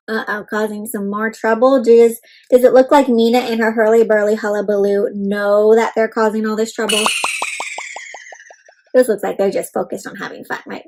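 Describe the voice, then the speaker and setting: A high-pitched woman storyteller reads a picture book for young children. She is expressive, often turning to her listeners with questions and punctuating the tale with exclamations and playful sound effects. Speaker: children's read-aloud storyteller. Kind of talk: interactive picture-book reading for kids.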